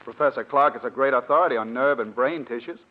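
A man's voice: medium pitch, quick pace, lightly acted. Tinny vintage-radio earnest young American scientist, acted by a man. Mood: incredulous. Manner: earnest, educated, incredulous. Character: earnest, incredulous young male scientist, former lab assistant. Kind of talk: 1940s radio acting. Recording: tinny, band-limited old radio recording.

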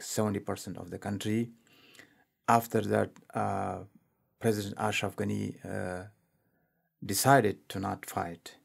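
A man speaks as an interview guest, stopping and restarting often with plenty of fillers. His voice sits fairly low and is heavily gravelly, with a husky edge. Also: darkish tone. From Afghanistan. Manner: hesitant, many fillers and restarts. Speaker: guest, civil rights activist. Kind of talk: casual interview talk.

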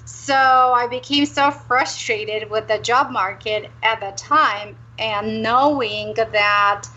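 A woman from Romania, a career transition coach, telling her career story as a guest in a candid, animated way. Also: a little high, slow pace. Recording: dull lo-fi line with faint noise.

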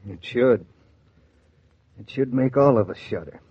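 Young husband, terse, steady, husky, 1940s radio drama, dull old recording. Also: male voice, mid-range voice, measured pace. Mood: grim.

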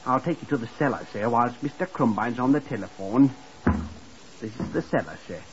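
A man's voice: medium pitch, expressive, brisk, lightly acted. An actor playing a naive, talkative hotel lift boy from Lancashire, eager and deferential, in a 1950s radio drama. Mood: helpful, earnest. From Blackpool, Lancashire, England. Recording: muffled, hissy 1950s radio recording.

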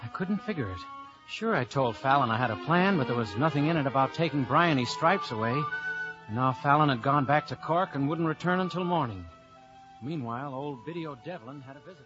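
A man's voice: mid-range voice, expressive, brisk, natural voice. An actor playing a plain-talking, earnest American detective who narrates his own story in a radio drama. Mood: wry narration. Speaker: actor in leading part and first-person narrator. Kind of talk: 1950s radio drama acting. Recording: muffled vintage radio broadcast with music.

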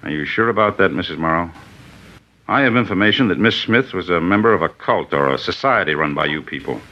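A stern district attorney in an old radio drama. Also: male voice, sits low, expressive, a little fast. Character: stern male district attorney grilling a suspect. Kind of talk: 1950s radio crime drama acting. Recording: dull old radio broadcast recording.

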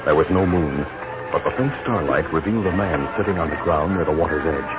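A man narrating a 1950s western radio drama in a dramatic, deliberate manner. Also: extremely low pitch. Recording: dull vintage radio recording with music.

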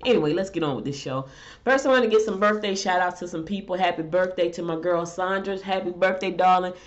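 A middle-aged woman hosting a casual solo talk show, stuffy from a head cold, chatting loosely and ranting in exasperation. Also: fast. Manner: chatty, exasperated rants with rising pitch. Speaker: show host. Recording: dull lo-fi audio.

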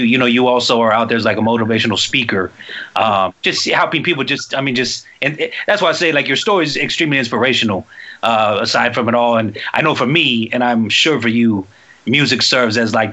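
A man hosts a relaxed interview, stretching his questions out with easygoing slang. His voice has a bright, forceful edge. The recording sounds dull and lo-fi. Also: mid-range voice. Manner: laid-back slang, rambling questions. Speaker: interview host. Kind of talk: casual interview chat.

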